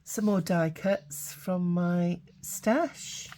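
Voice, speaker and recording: Hoarse woman host with a cold, low mellow voice, relaxed chatty delivery full of fillers, faint hum behind. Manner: chatty, halting, many fillers. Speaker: craft channel host. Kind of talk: casual craft flip-through.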